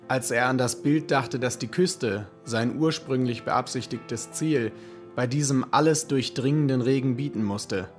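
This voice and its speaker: A man narrating a radio play as a scripted, literary story in a measured, dramatic manner. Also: medium pitch. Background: music.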